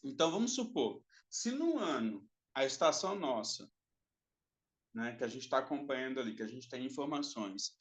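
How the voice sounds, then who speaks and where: A man teaches an online class at a moderate, everyday pitch. He explains patiently and repeats points, with a dry throat that brings the odd cough. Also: expressive, moderate pace. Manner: explanatory, repeats himself and adds frequent "tá?" checks. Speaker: lecturer. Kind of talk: recorded online class lecture.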